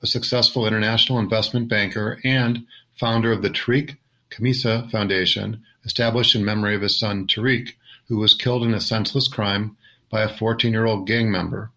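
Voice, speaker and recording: A man hosting a podcast interview, reading prepared introductions in a steady way. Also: lowish voice, a little fast, poor audio. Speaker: podcast host.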